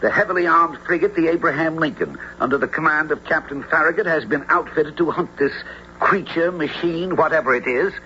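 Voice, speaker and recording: An actor playing an earnest, insistent American naval secretary in a radio drama, formal and emphatic. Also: male voice, fairly high pitch, fairly quick, bright, forceful tone. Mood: grave, formal. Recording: dull, noisy radio drama recording.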